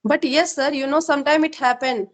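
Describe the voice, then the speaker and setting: A woman's voice: high voice, steady tone, fairly quick. A woman hosting a spoken English practice conversation, agreeing often and talking with a few fillers. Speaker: host of English practice show.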